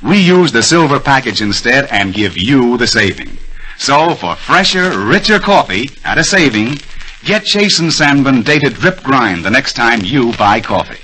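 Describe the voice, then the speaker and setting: An American man working as a radio announcer, delivering an emphatic, folksy 1930s advertising pitch on a vintage broadcast. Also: sing-song, unhurried, pushed and bright, slight background noise, rough recording.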